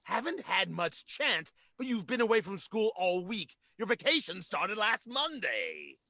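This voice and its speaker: An actor playing an irritable, pompous middle-aged school principal in an old-time radio comedy, grumbling in exasperation. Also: male voice, high-pitched, huge pitch swings, unhurried, bright, forceful tone, dry recording, clean studio sound, full character voice. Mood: indignant.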